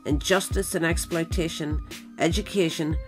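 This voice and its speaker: A woman's voice: fairly low pitch, moderate pace. Woman reading formal narration over music. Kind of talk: scripted narration.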